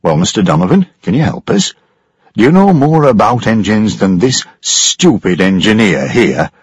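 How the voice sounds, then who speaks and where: A man playing a bossy ship's captain in a storybook reading, brisk and dismissive, with a slightly raised voice, a husky edge and a lively swing in pitch, recorded dry and close. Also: no room sound, exaggerated voice. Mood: angry. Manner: pushy, dismissive. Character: blustering male ship captain.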